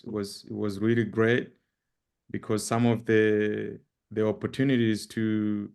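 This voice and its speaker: Male guest speaker, Zambian accent. Deep-ish, creaky voice, halting informal presentation style. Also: a little mellow. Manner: halting, with repeated words and frequent pauses. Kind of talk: informal presentation with personal introduction.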